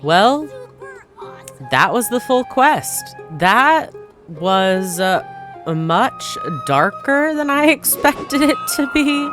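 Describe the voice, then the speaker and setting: A woman hosting a gaming video, giving casual, expressive and slangy reaction commentary. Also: fairly high pitch, extremely slow. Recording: game music behind the voice.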